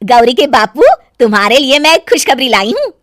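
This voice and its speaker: Lady playing a bossy greedy village saas in a cartoon, high voice, pushy and scolding. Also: big pitch swings, fairly quick, fairly bright tone, dry recording, studio quality. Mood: sly, coaxing. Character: greedy, bossy middle-aged village mother-in-law.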